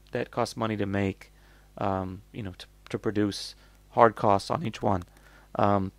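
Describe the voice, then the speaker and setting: A man's voice: on the low side, vocal fry, no echo. A North American man hosting a casual, off-the-cuff product review, rambling and blunt as he thinks aloud.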